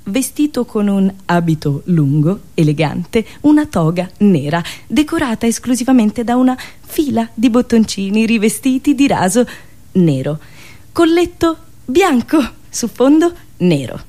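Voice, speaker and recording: A woman radio host telling a satirical story theatrically, expressive and deliberate, with dramatic pauses between listed phrases. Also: on the high side.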